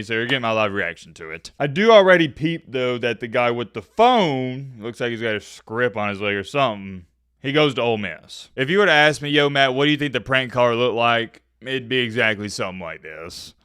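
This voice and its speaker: A man giving casual reaction commentary as a video host, animated, slangy and opinionated in his delivery. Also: mid-range voice, smooth, dry recording, clean studio sound.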